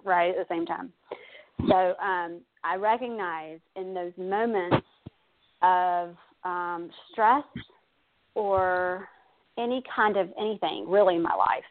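Phone-like, low-pitched woman giving advice. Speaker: guest giving advice on self-care. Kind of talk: conversational advice talk. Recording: dull phone-like audio.

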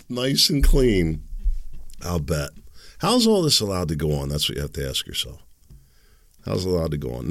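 Deep-voiced man in his fifties, dry booth sound, loose sarcastic solo podcaster. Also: a bit bright, dry recording. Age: 50s. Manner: rambling, sarcastic, self-deprecating asides. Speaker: podcast host. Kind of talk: casual solo podcast monologue.

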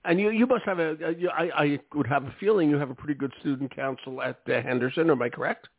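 Male talk show host, fast friendly chatter, slightly high voice, thin phone-like audio. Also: no room sound. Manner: friendly, quick, interjecting, informal. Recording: tinny call audio, dry.

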